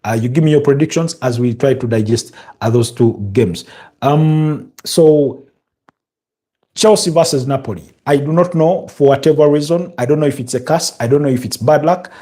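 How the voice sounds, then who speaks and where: Chatty Ugandan man, mellow voice. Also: mid-range voice. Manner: chatty, halting, reads viewer comments aloud and answers them. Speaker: football show host. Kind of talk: live-stream football chat with viewers.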